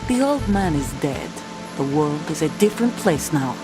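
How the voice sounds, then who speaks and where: An actress playing a defiant, bitter young mercenary commander in a video game, with a curt manner. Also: female voice, low voice, very animated, normal speed, loud music behind the voice, poor audio. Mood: firm, resolute. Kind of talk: dramatic video game cutscene acting.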